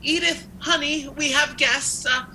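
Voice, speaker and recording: A woman's voice: sits high, steady tone, a little slow, sharp tone, exaggerated voice. A woman playing an anxious, humbly polite householder in a radio play, hesitant and deferential. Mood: anxiously polite. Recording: noisy home video-call recording.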